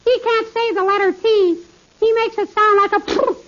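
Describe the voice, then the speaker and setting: Cheeky little boy, very high voice, eager, 1940s radio comedy, dull lo-fi sound. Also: fairly even intonation, normal speed, heavy background noise. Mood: explaining, earnest.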